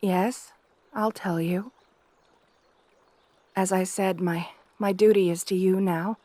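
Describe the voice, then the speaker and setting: Casting: actress as gentle princess bride for romantic ASMR audio drama; unhurried, hesitant, deferential, very dry close sound. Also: female voice, mid-range voice, fairly even intonation, no echo. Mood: confiding. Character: shy, gentle, devoted young princess bride.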